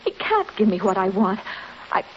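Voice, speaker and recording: A woman's voice: mid-range voice, big pitch swings, lightly acted. A woman playing a sardonic young heiress. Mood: pleading. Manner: brisk, sardonic, then pleading. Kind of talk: 1930s radio acting. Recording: muffled old radio recording with clear hiss.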